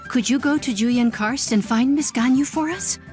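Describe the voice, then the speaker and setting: A woman's voice: high voice, moderate pace, darkish tone, soft background music, lightly acted. A woman voicing a weary, courteous young secretary in a video game, speaking formally. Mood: relieved, pleading. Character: weary, polite young female office secretary.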